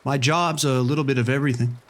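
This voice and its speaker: A man's voice: medium pitch, fairly even intonation, quick pace, lightly acted. A man playing a matter-of-fact, weary casino operations manager in an understated audio drama monologue. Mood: matter-of-fact, uneasy.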